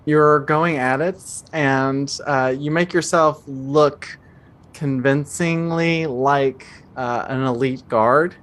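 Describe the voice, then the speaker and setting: A woman's voice: low voice, fairly even intonation, slow. A woman improvising narration as game master of a tabletop game, speaking in a casual, conversational way.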